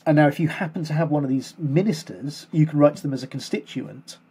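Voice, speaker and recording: Brief: male co-host, chatty explainer, soft husky tone, loose rambling delivery. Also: a little high, fast. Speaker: podcast co-host. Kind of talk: casual chat.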